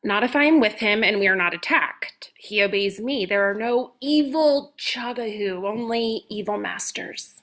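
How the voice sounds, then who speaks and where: A woman's voice: mid-range voice, lively intonation, measured pace, hardly any pauses, fairly bright tone. A woman playing a stern, mysterious guardian of a shapeshifter, solemn and commanding, in a comedy horror reading. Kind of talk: comedic horror script table read.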